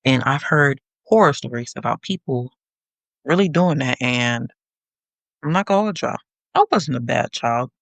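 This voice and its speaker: Sassy young woman podcast host, gravelly fry in her voice, dry close sound, teasing the audience. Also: very deep voice, lively intonation, moderate pace, no room sound. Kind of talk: casual monologue to listeners.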